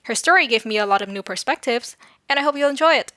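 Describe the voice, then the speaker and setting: Bright, fast-talking young woman. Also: a little high, lively intonation, dry recording, clean studio sound. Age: young adult. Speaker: student producer. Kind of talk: scripted self-introduction.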